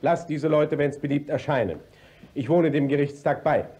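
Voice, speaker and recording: An actor playing a brisk, authoritative court inspector in a classic German radio comedy, formal and commanding. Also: male voice, fairly high pitch, mellow, close to an everyday voice. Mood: composed, official.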